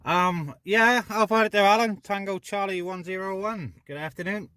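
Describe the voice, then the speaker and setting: A man's voice: high-pitched, normal speed, bright, forceful tone, clean tone, no room sound. A man chatting casually and a little hesitantly as he hosts a CB radio net. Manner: hesitant, informal. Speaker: CB radio net host and vlogger. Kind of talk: casual rambling chat to camera and radio. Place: outdoors on a hilltop by a car.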